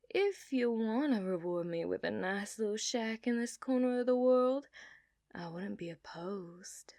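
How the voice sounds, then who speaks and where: A woman voicing an obsessive, dominant outlaw cowgirl in a put-on Southern accent, for an ASMR roleplay, teasing and dropping into soft whispers. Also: fairly high pitch, no room sound, studio quality. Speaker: ASMR performer.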